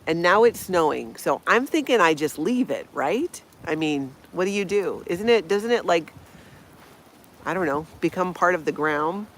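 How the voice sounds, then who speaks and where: A woman's voice: fairly low pitch, a bit bright. A woman in her fifties from Hawaii, hosting a casual outdoor live stream, chatting and laughing as she reads viewer comments. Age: 50s. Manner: chatty, laughs often, greets viewers by name. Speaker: channel host. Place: snowy backyard outdoors in Minnesota.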